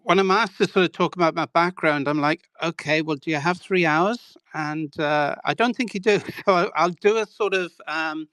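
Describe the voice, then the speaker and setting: A man in his sixties from Wales speaks as an interview guest, telling his story in a loose, self-deprecating way with plenty of "sort of" and "um". His voice is smooth and resonant, recorded dry and close with no room sound. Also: fairly high pitch, normal speed, few pauses, a bit bright, no room sound. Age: 60s. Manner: rambling, self-deprecating, many hedging fillers.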